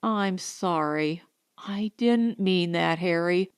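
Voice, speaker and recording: A woman voicing a sullen, gruff colonist husband in a story, terse and grudging. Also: lowish voice, slow, pitched below the performer's own voice. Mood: subdued, defensive.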